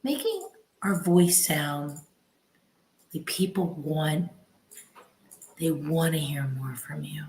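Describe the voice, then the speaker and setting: A woman with a notably low voice hosts a live question and answer session. She puts questions to her guest and thinks aloud as she talks, in halting phrases. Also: expressive, darkish tone. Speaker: host. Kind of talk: live Q&A interviewing.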